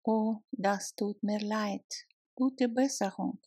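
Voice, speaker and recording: A woman teaching short phrases at a very slow, deliberate pace, splitting each one into small pieces before repeating it whole. Her voice is husky and a little breathy. The sound is dry and close. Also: medium pitch, steady tone, mellow, no echo. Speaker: language teacher. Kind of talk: slow phrase drill with translations.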